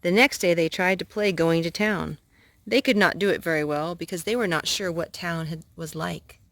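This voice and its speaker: A woman's voice: lowish voice, moderate pace, fairly bright tone, no room sound. A woman reading stories aloud for children, now and then correcting a slip as she goes. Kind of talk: read-aloud children's storytelling.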